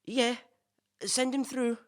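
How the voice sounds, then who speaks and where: A man's voice: very high-pitched, flat delivery, slow. A man playing a polite, eager-to-please podcast host in a comic audio play, hesitant, apologetic and nervously deferential. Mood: surprised, flustered.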